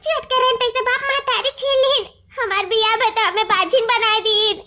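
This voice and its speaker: पूर्वी उत्तर प्रदेश के लहजे में एक औरत कार्टून की दुखियारी देहाती बहू का किरदार निभा रही है, जो बिसूरते हुए अपनी बात दोहराती है।. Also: female voice, very high voice, steady tone, normal speed, background noise. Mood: bitter, tearful. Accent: eastern Uttar Pradesh. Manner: plaintive, wailing, repetitive lamenting. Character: tearful, aggrieved village daughter-in-law in a cartoon. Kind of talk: melodramatic comedy cartoon dialogue.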